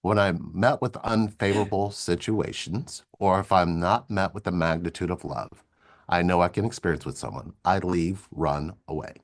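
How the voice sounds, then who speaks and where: Soft-spoken older man with a deep voice. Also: moderate pace. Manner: soft-spoken, rambling, repeats words as he thinks aloud. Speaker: co-host of a relationship coaching livestream. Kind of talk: casual spiritual livestream chat.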